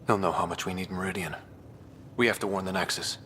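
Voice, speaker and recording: A man playing a steady, determined young human explorer leader with dry humor in video game cutscene dialogue. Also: deep voice, quick pace, slight background noise.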